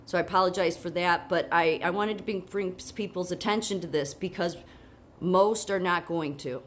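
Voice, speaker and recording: Woman, lower voice, congested with a cold, casual talky commentary, lots of restarts and "okay?" asides. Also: moderate pace, slight background noise. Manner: chatty, frequent restarts and asides, asks "okay?". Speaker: political commentator. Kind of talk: casual commentary reading a news article aloud.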